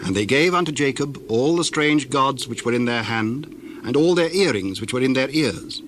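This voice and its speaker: A man narrating scripture from a script in a formal, measured storytelling manner. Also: medium pitch, lively intonation, fairly bright tone, a little hiss or hum.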